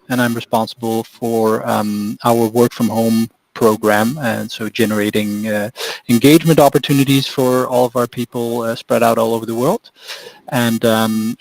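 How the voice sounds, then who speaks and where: Man, mid pitch, soft-spoken, hesitant. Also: gravelly, rough recording. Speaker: work-from-home program lead. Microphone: video call.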